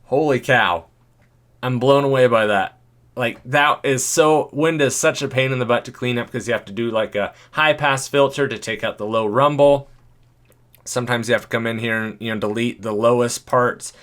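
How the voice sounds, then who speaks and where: A man with a deep voice, talking casually and thinking aloud as he walks through an editing tutorial, chuckling now and then. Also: moderate pace, a bit bright. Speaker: video creator.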